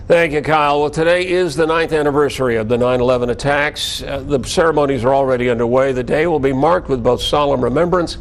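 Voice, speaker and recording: A man's voice: mid-range voice, fairly even intonation, fast talker, few pauses. An American man anchoring scripted television news with a wry delivery and dry asides.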